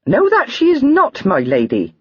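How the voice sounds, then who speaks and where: A woman's voice: a little high, wildly swooping pitch, moderate pace, husky, dry recording, muffled recording, pitched above the performer's own voice, full character voice. A woman reading aloud, voicing a prim, disapproving older maid who is shocked. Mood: scandalized.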